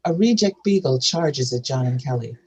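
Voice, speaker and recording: Casting: male narrator, husky, reads screenplay directions aloud. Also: mid-range voice, animated, normal speed, poor audio. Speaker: narrator reading stage directions. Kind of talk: scripted narration.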